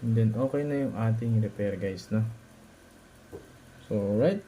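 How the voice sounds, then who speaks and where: A man's voice: lowish voice, a little slow, dark tone, a little hiss or hum, low-quality recording. A man with a Filipino accent narrating a hands-on repair tutorial as a technician, informal and instructive, often checking in with short tag questions.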